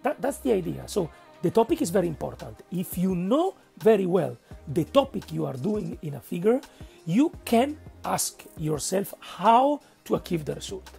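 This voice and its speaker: A man with an Italian accent narrating a painting tutorial, speaking haltingly and searching for words, with frequent checks of "okay?". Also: high voice, big pitch swings, gravelly, dark tone, faint music behind. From Italy. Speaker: miniature painting instructor.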